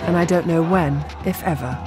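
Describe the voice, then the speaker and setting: A woman in her forties who grew up in Iran, presenting a documentary in calm, reflective first-person narration. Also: lowish voice, moderate pace, over a noisy crowd. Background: music under the narration.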